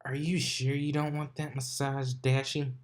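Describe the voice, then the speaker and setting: A man's voice: medium pitch, level tone, unhurried, pitched above the performer's own voice, close to an everyday voice. Guy putting on a pony girl's naughty inner voice, purring and coaxing, storybook style. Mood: teasing, coaxing. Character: lewd teasing inner voice of a pony mare.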